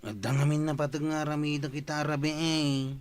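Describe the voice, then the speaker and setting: A man's voice: fairly high pitch, little pitch movement, normal speed, clean tone, natural voice. An actor playing a sly, lovesick village man with a Filipino Ilocano accent, pushy and pleading, drawling and hesitating in an audio drama.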